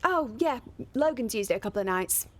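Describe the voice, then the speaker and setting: A young woman playing a caring, brisk grown-up daughter in a radio soap. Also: on the high side, expressive, a bit bright.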